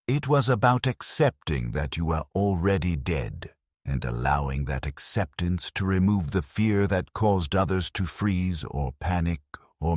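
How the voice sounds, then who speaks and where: A man narrating a dramatic scripted first-person war story as a grim, war-weary soldier, in a grave, measured, deliberate delivery. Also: very deep voice, darkish tone, dry recording, studio quality. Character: grim war-weary penal legion soldier recounting battles. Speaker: narrator of a fan lore channel.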